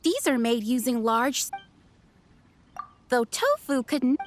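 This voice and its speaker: A woman's voice: high-pitched, big pitch swings, slow, a little hiss or hum, heavily acted. A woman playing a polite young restaurant server in an anime-style video game, courteous and presentational.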